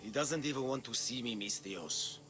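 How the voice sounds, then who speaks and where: A male actor playing a broken, guilt-ridden torture victim in a video game cutscene, halting and strained as he pleads. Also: medium pitch, a bit bright, faint music behind, close to an everyday voice. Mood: sorrowful, pleading.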